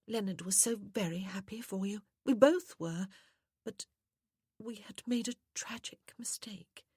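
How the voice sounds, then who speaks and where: A woman voicing a soft, sweet, tearfully reproachful young widow in a period romance audiobook. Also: medium pitch, measured pace, breathy edge, no room sound, studio quality, pitched above the performer's own voice. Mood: tearful regret.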